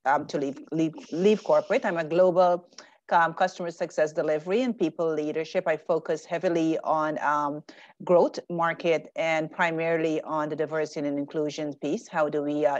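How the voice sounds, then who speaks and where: A woman's voice: sits low, a little mellow, no echo. A woman in corporate leadership introducing herself in an online meeting in a friendly, earnest way. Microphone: video call. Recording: dry muffled call audio.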